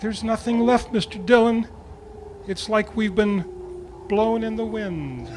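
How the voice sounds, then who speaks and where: Man as pleading frontier deputy, high whiny voice, earnest radio western parody. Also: unhurried. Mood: anxious, pleading. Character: anxious, pleading male western deputy sidekick. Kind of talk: old-time radio western parody acting. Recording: faintly noisy live club performance.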